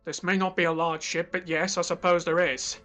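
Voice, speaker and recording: Man acting a bright-voiced, sceptical ship crewman. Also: on the high side, level tone, normal speed, soft background music. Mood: matter-of-fact. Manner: matter-of-fact, doubting. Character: practical, sceptical male research ship crewman. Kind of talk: audio drama acting.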